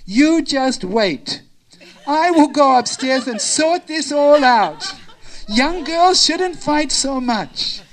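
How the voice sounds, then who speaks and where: A woman's voice: a little high, animated, measured pace, a little mellow, poor audio. A woman voicing a well-meaning elderly mother-in-law in a story, fussing and repeating herself as she scolds and soothes. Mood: scolding.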